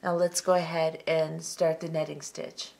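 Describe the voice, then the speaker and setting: A middle-aged woman hosting a step-by-step beading tutorial, talking calmly and methodically with frequent ums. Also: deep voice, unhurried, vocal fry. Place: tabletop craft workspace, indoors.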